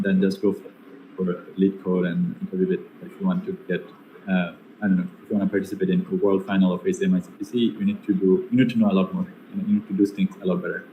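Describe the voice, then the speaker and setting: Young Bangladeshi man, halting and echoey. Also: deep voice, mellow. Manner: hesitant, many fillers and restarts. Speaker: guest speaker. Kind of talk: online seminar Q&A. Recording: echoey room with faint noise.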